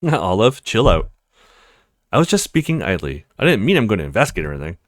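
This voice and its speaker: A man's voice: on the low side, very animated, fast, no echo, pitched below the performer's own voice. Guy doing an earnest young student dude from a visual novel, really varied intonation, dry sound. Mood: amused, reassuring. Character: earnest young male student, overwhelmed but kind. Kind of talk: visual novel character reading.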